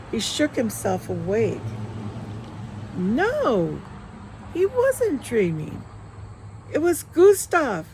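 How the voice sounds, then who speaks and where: A woman reading her own novel aloud as a storyteller, with expressive, dramatic narration. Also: fairly high pitch, creaky, a little hiss or hum, rough recording. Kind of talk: expressive audiobook-style narration.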